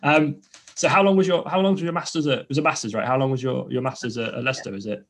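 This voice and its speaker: A man's voice: mid-range voice, fairly even intonation, fast talker. A man, hosting a casual podcast interview and rambling through restarts and fillers. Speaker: podcast host.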